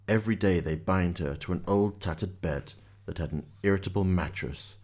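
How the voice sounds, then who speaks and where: Male storyteller for radio drama; low-pitched, scripted narration. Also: moderate pace, darkish tone.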